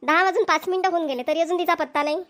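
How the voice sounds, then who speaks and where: A woman's voice: extremely high pitch, rapid-fire, fairly bright tone. A woman with a Varhadi Marathi accent voicing a bossy, chiding village leader of farm workers in a cartoon comedy. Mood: impatient, chiding. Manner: chiding, hurrying. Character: bossy, punctual village woman leading a farm work gang.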